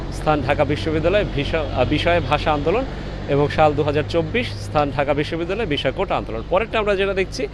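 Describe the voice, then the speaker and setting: A male reporter speaks in a high register with a Bangladeshi accent. He presents from the scene in a measured, deliberate manner, pausing often. Clear street and traffic noise surrounds his voice. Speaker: reporter interviewing students. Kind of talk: on-location reporting and interviewing. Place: outdoors on a university campus. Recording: noisy outdoor street with traffic.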